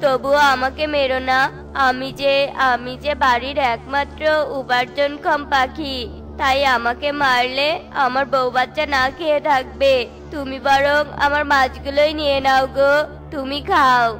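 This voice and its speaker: Woman voicing a high-pitched humble father bird. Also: flat delivery, measured pace, fairly bright tone. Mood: frightened, pleading. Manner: plaintive, pleading. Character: poor, humble, timid male father bird, cartoon. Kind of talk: children's cartoon voice acting.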